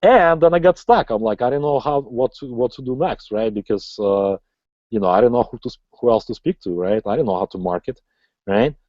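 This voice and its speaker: A man's voice: mid-range voice, fairly quick, no room sound. A man in his forties who is not a native English speaker, giving a motivational sales webinar as an online marketing coach and often ending lines with "right?". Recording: slightly dull lo-fi audio.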